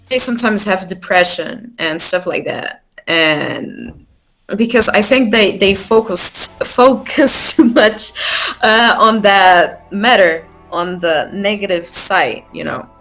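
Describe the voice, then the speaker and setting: Brief: non-native English woman, unhurried, halting phrasing, faint music behind. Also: lowish voice, fairly bright tone. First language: not English. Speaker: music producer guest. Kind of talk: casual interview chat.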